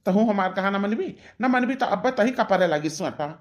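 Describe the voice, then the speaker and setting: A woman's voice: mid-range voice, lively intonation, brisk, a little mellow, natural voice. A woman voicing an angry, bullying village husband in a cartoon, with an Awadhi accent and a curt, threatening manner. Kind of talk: melodramatic cartoon dialogue.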